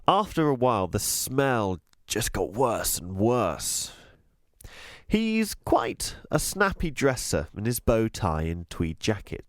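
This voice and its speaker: A man reading a children's storybook aloud as a teacher would, with expressive, deliberate, emphatic delivery. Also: mid-range voice, dry recording, studio quality. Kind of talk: scripted storybook narration.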